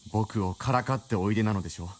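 A man's voice: lowish voice, racing, lightly acted. A man playing an earnest, straitlaced detective novelist in a period radio drama, polite but easily flustered and incredulous. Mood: incredulous, agitated.

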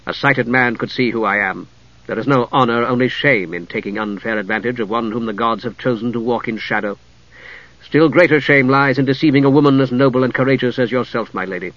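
A proud young knight who serves a dark queen, as the narrator plays him, polite and cool in a formal way. The voice sits low, with a dry, slightly dull sound. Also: a little fast, no room sound, lightly acted.

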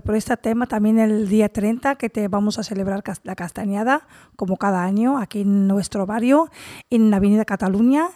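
A woman's voice: medium pitch, mellow, no room sound, clean studio sound. A woman organizing a neighborhood event, speaking plainly and invitingly on a podcast. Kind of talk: casual podcast conversation.

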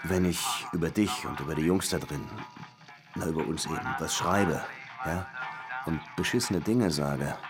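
Very deep-voiced, weary police lieutenant. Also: male voice, normal speed, music in the background. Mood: hesitant, familiar. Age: 30s. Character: weary 36-year-old Cuban police lieutenant longing to write. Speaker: lead actor in a radio crime drama. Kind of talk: German radio drama acting with first-person narration.

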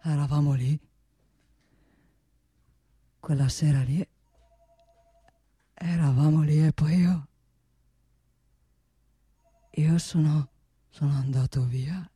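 A woman's voice: low voice, steady tone, takes it slowly, pauses often, warm tone, breathy edge, no room sound, exaggerated voice. A woman playing an ageing, wealthy male boss in a comic radio monologue, self-satisfied and domineering, rambling and repeating with little questioning tags. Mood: wistful. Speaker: actor in a comic radio play.

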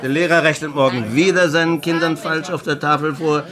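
Man acting boisterous pastor, loud tavern behind. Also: a little high, steady tone, brisk, crowd noise, natural voice. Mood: mocking. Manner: loud, commanding, mocking. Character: boisterous, domineering village pastor, defiant drinker. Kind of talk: German radio play acting.

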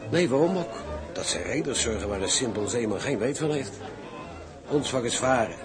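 An actor playing a carefree, blunt old shipmate in dramatic dialogue, with a jaunty way of talking. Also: male voice, medium pitch, lively intonation, moderate pace, lightly acted. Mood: blunt, frank. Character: breezy, blunt seaman, the captain's carefree old comrade. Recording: music behind the voice.

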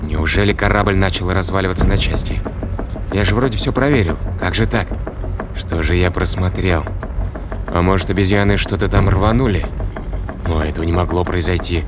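With music playing behind, an actor voices a lowish-pitched ship's technician, a grown man who frets over trouble aboard yet tries to reassure. It is sci-fi audio drama. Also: moderate pace, natural voice. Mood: bewildered, worried. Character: spaceship technician, anxious but reassuring adult man.

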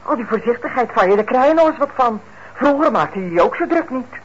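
Bitter mother, late thirties, high swooping voice, wheedling and nagging, radio drama, dull hissy recording. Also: expressive, a little fast, breathy edge. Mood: scornful, nagging. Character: bitter, pleading, manipulative mother nearing forty. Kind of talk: radio play acting.

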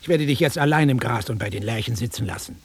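An actor playing a bored, blunt middle-aged travelling salesman with a raspy voice in a naturalistic radio drama. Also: medium pitch, fast talker. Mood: impatient, annoyed. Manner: offhand, dismissive, grumbling.

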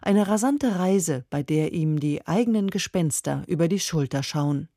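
A woman's voice: deep voice, animated, moderate pace, warm tone, no echo. A woman announcer reading a scripted introduction to a radio drama, close on a studio microphone.

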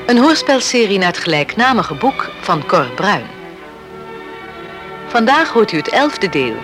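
Male announcer, slow delivery, animated intonation, scripted serial introduction, loud music underneath. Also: high voice.

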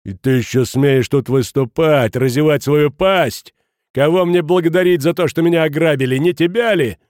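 A man's voice: on the high side, moderate pace, darkish tone, no echo, clean studio sound, close to an everyday voice. A man voicing a bitter, indignant man robbed of his savings, reproachful and shaky, in an audiobook. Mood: furious.

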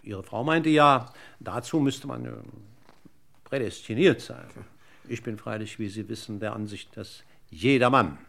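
An actor playing a courteous but relentlessly needling police commissioner in a radio crime drama, formal and deliberate. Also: male voice, mid-range voice, vocal fry, fairly bright tone. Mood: probing, needling.

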